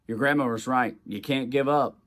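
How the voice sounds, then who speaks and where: A kindly baseball hero as a man reads him from a storybook, encouraging and plainspoken. The voice sits at an ordinary medium male pitch. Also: moderate pace, fairly bright tone, lightly acted.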